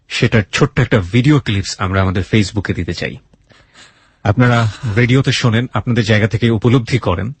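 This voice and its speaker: A man hosts a radio show. His voice sits fairly low and has a husky grain. He addresses the audience directly, in a dry studio sound. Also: dry recording. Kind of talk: radio hosting, addressing listeners.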